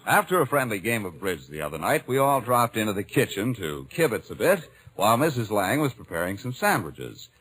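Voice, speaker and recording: A man's voice: fairly low pitch, sing-song, a little fast, hardly any pauses, a bit bright, slightly rough, poor audio. A man with an American accent announcing on an old radio show, reading sponsor copy and scripted narration. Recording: old radio broadcast, faint music behind.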